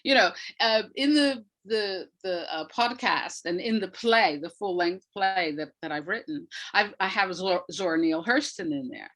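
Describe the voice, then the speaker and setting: An older woman speaking reflectively as an interview guest, in a measured, deliberate way with emphasis on key words. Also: medium pitch, a bit bright. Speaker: guest, playwright and retired academic.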